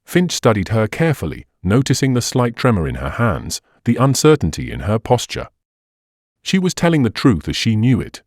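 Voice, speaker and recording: A man reading scripted detective mystery narration in a measured, dramatic storytelling manner. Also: medium pitch, wildly swooping pitch, a little mellow, no room sound, studio quality. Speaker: audiobook narrator.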